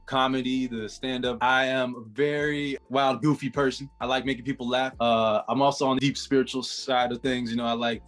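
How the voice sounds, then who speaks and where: A young American man in his twenties talks casually and expressively over a video call. He is upbeat and slangy, with a mid-pitched voice and faint music underneath. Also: level tone, a little fast, hardly any pauses, a bit bright. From Orlando, Florida, US. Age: 20s. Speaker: dating show contestant, musician. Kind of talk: casual chat on a video date. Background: background music.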